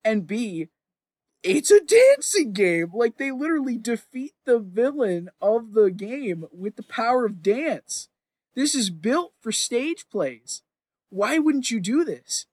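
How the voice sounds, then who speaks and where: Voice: mid-range voice, big pitch swings, slow, a little mellow, no room sound. Emphatic, sweary podcast host, closely recorded. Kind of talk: casual chat, opinionated rambling.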